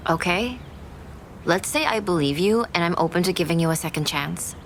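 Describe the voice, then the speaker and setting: A woman's voice: fairly low pitch, lively intonation, normal speed, a little hiss or hum. An actress playing a guarded, skeptical woman in an audio drama, speaking in blunt, clipped phrases with a protective edge. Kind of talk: naturalistic audio drama acting.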